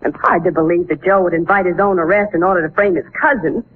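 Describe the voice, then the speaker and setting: A woman's voice: lowish voice, steady tone, fast, close to an everyday voice. An actress playing a sensible young newspaperwoman in a 1940s radio drama, wry and articulate. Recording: muffled, noisy 1940s radio recording.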